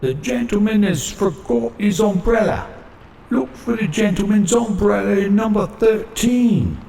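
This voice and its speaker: Man putting on a shrill, creaky inn servant. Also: measured pace, mellow, echoey room, a little hiss or hum. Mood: urgent. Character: shrill, agitated inn servant calling out urgently.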